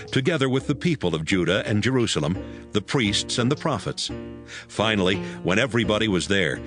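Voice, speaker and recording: Low-voiced, expressive male scripture narrator. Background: prominent music.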